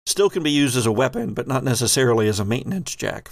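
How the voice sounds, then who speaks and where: A man's voice: mid-range voice, lively intonation, fast, creaky, no echo. Man as conversational game master, dry close sound. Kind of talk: improvised tabletop game narration and rules talk.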